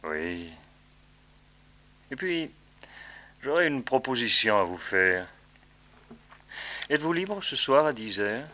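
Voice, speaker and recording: An actor playing a calm, menacing villain in a French radio serial, suave and mock-polite in his measured delivery. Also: mid-range voice, exaggerated voice. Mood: conspiratorial.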